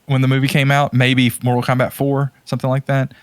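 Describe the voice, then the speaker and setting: A man's voice: medium pitch, monotone, a little fast, smooth, dry recording. Casual, rambling man on a close, dry mic. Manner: rambling, thinking aloud, enthusiastic. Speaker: podcast co-host. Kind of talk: casual chat about video game movies.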